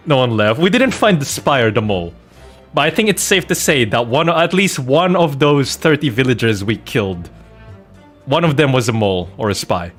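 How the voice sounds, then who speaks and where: A man streaming a game, chatting casually and telling anecdotes in an animated, joking way with mock innocence. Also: medium pitch. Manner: animated, jokey storytelling with mock-innocent protests. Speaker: streamer playing a video game and host of the channel.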